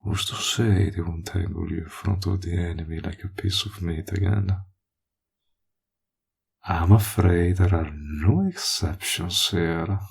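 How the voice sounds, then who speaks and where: Man acting a gravelly, slow-talking smug villain. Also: deep voice, steady tone, a little mellow, exaggerated voice. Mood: mocking. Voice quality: gravelly, husky. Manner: taunting, mock-polite, deliberate drawl with drawn-out threats. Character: smug menacing male villain, possessive toward a former friend. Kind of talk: scripted audio roleplay drama.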